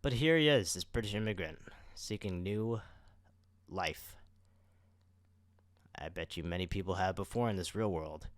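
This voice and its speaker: A man with a fairly low voice talks casually as a podcast co-host. His explanations wander informally, and the sound is very dry, with no room around him. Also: moderate pace, pauses often, no room sound.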